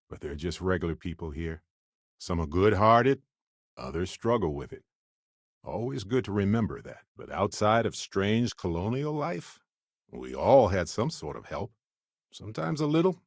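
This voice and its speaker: A man's voice: fairly low pitch, dry recording, clean studio sound, heavily acted. A man voicing a wry, good-hearted space explorer companion in a video game, conversational and earnest. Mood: earnest, tender.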